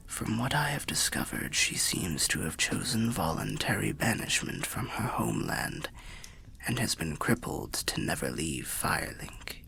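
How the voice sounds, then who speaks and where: A man voicing a weary old undead wanderer telling fantasy tales in ASMR roleplay, half whispered and gravelly, with a measured, wry, old-fashioned way of speaking. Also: very animated, pushed and bright, full character voice. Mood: somber, hushed. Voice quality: breathy whispering, gravelly low voice. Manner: measured, archaic, wry storyteller.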